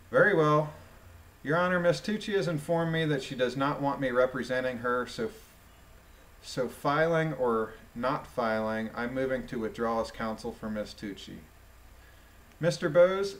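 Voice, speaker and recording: A man with a medium-pitched voice reads from a document and comments on it informally. His delivery is deliberate and musing, as if thinking it through while he goes. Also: fairly bright tone, rough recording. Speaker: commentator reading a court transcript aloud. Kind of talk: read-aloud with informal commentary.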